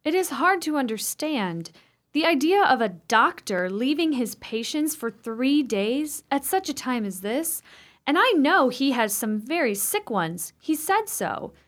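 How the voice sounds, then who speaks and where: An actress playing an anxious, suspicious sister in an old ghost story for a radio play, speaking with insistent drama. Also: female voice, sits high, big pitch swings, unhurried. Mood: indignant.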